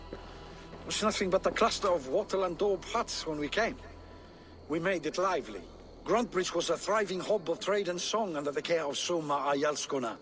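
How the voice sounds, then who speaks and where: A high-voiced defeated Danish warrior. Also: male voice, fairly bright tone. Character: defeated Danish warrior recounting a lost town. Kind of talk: dramatic game dialogue.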